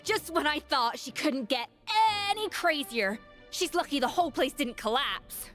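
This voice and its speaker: An actress playing an indignant, commanding young chef heroine in a video game, stern and emphatic. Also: female voice, high-pitched, animated, moderate pace, a bit bright, soft background music. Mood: scornful.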